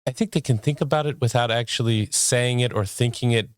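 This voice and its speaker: A relaxed interviewer asking questions and affirming the guest's points in a conversational interview. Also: medium pitch, a little fast, dry recording, studio quality. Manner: easygoing, affirming, laughs along. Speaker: interviewer, host.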